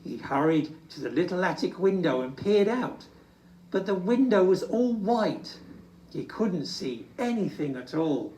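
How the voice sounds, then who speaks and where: Expressive storybook reader, unhurried and dramatic, recorded at some distance in an echoing room. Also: mid-range voice. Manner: dramatic, drawn-out emphasis. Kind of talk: expressive children's story reading. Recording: roomy, distant microphone.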